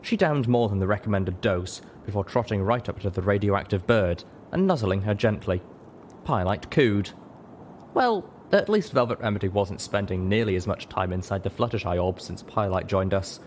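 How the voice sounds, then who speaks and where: A man's voice: on the low side, moderate pace, a little mellow, slight background noise. A man reading scripted first-person story narration as an audiobook narrator.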